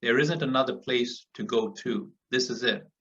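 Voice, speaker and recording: A man's voice: mid-range voice, moderate pace, a bit bright. A man from Africa giving an earnest, motivational speech to people on a group call, driving his points home through repetition. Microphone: call audio. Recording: muffled, distant call audio.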